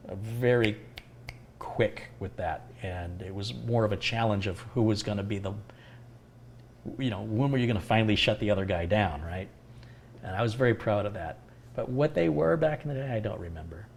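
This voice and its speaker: A middle-aged man hosting a live tech stream, answering viewer questions in a calm, unhurried, reflective way. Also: lowish voice, vocal fry, slight background noise. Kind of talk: casual live Q&A chat.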